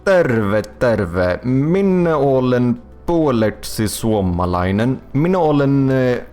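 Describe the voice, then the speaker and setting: A man's voice: medium pitch, sing-song, slow, a little mellow, clear, resonant tone, soft background music. A young man with a Swedish accent chatting loosely on a game development stream, swearing often and filling gaps with ums and likes. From Sweden. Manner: loose and profane, full of fillers and restarts. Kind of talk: casual live-stream chat.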